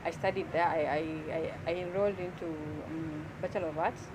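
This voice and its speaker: A woman's voice: on the low side, fairly even intonation, a little fast. A young woman with an East African accent telling her personal story as an interview guest, often searching for words. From South Sudanese, grew up in Kenya. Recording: outdoor traffic noise behind voice.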